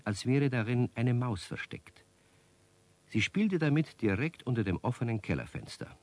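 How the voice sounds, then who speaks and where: A man's voice: medium pitch, a little mellow. A man narrating a scripted children's radio tale as storyteller.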